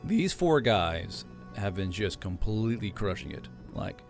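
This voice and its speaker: A man's voice: fairly low pitch, very animated, unhurried, gravelly, faint music behind. A man who reads his own stories on his channel, then talks casually in a rambling, joking, sometimes profane way. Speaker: storyteller and channel host. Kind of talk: audiobook narration and casual outro.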